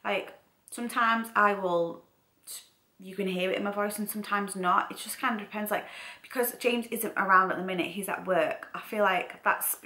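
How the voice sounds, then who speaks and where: Bright-voiced young Mancunian woman, echoey room. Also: medium pitch, fairly even intonation, normal speed. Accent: Mancunian with some Scouse. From Manchester, England. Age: young adult. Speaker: vlogger. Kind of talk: casual chatty vlog. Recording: roomy, echoey home room.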